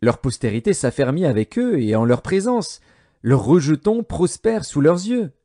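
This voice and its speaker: A man narrating an audio Bible in a solemn, measured way, enunciating each phrase with care. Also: fairly high pitch, very animated, mellow. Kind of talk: scripted scripture narration.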